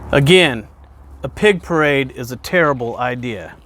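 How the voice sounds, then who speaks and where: A man reading a children's picture book aloud in an expressive storytelling way, with emphatic pauses and questions put to the listener. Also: medium pitch, a little hiss or hum. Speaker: guest reader, fire department deputy chief.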